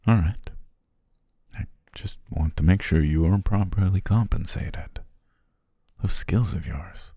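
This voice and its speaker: A man playing a courteous, flattering demon king in an intimate ASMR roleplay, with formal, old-fashioned phrasing and a husky, gravelly voice. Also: no echo, studio quality, exaggerated voice. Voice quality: very deep, gravelly, husky, breathy. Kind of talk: ASMR roleplay, intimate second-person acting.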